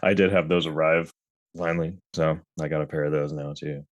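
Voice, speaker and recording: Very deep-voiced sick man. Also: fairly even intonation, a little fast. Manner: laid-back, laughs easily. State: sick, congested. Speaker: podcast co-host. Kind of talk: casual chat.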